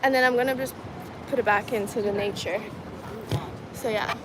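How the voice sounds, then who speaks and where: A woman's voice: a little high, fairly even intonation, moderate pace. Chatty woman streaming outdoors. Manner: chatty, laughs easily, talks to viewers as "guys". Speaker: vlogger reading live chat. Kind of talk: casual livestream chat. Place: outdoors in a city at dusk. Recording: outdoor stream with clear traffic noise. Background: street and traffic noise.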